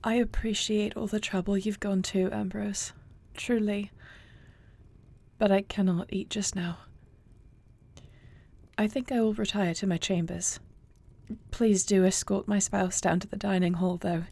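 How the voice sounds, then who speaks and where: A woman's voice: medium pitch, fairly even intonation, vocal fry, warm tone. Echoey, solemn young dragon queen played by a woman. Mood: drained, subdued. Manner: formal, measured, earnest. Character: newly crowned young dragon shifter queen, solemn, shaken, dutiful. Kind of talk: scripted audio roleplay acting.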